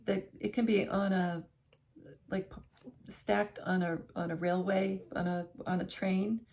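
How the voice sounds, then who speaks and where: A woman's voice: mid-range voice, little pitch movement, a little slow, darkish tone, slight background noise. A middle-aged woman vlogging from her living room armchair, chatting casually to camera in a rambling way, often restarting and correcting herself. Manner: rambling, self-correcting, conversational.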